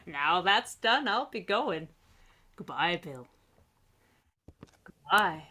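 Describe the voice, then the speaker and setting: A woman's voice: fairly low pitch, sing-song, bright, forceful tone, heavily acted. A woman playing a menacing old blind beggar sailor in a radio play reading, with a fawning manner that can turn threatening. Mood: coldly commanding. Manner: slow, fawning turning threatening.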